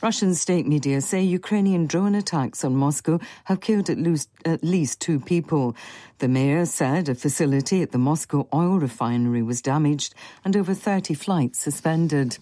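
Woman reading the news, deep mellow voice, steady and formal, dry studio sound. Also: normal speed, no room sound. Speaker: newsreader. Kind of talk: reading radio news bulletin. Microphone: studio microphone.

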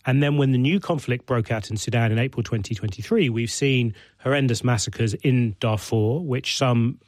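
A man's voice: mid-range voice, moderate pace, a little mellow, no room sound. A man hosting a radio interview, asking probing questions and narrating in a composed broadcast manner. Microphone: studio mic.

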